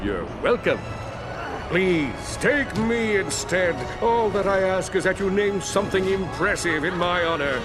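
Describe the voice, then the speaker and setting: A vain, muscle-bound cartoon superhero from a video game. He is bombastic and none too bright, with an animated, fairly high male voice. Music runs behind him. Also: measured pace. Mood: grandiose. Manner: bombastic, self-important. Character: pompous, vain, dim-witted muscleman superhero. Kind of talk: animated game cutscene acting.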